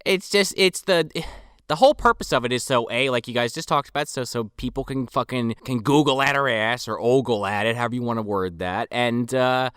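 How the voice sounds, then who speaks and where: Bright, wry man recapping a movie. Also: medium pitch, brisk, smooth, dry recording, studio quality. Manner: wry, explains plot points, sarcastic. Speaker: podcast co-host. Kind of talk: casual movie review banter.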